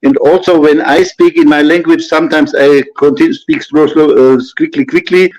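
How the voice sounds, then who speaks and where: A man who is not a native English speaker, talking haltingly with many fillers in a live chat. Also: on the high side, steady tone, darkish tone, rough recording. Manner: hesitant, halting, full of fillers.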